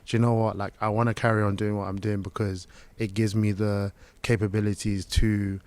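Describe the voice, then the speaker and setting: Low-voiced relaxed podcast co-host. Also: male voice, fairly quick, clear, resonant tone. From Zimbabwe. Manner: relaxed, rambling, many "um" and "like". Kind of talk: casual podcast chat.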